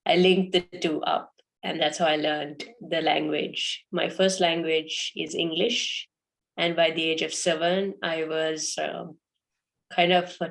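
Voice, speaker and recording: A young woman from Sri Lanka, a podcast guest telling her story in a halting way full of fillers and restarts. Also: low-pitched, creaky. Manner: hesitant, many fillers and restarts. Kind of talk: casual interview answers.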